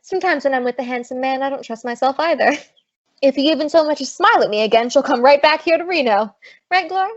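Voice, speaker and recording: A woman's voice: high-pitched, quick pace, muffled recording, close to an everyday voice. A woman playing a brassy, big-hearted, wisecracking working woman in an old-time radio comedy. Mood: teasing.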